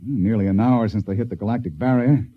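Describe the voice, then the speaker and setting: An actor playing a thoughtful commanding colonel at a base in a 1950s radio drama. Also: male voice, on the low side, quick pace, lightly acted. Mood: puzzled. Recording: dull old radio recording.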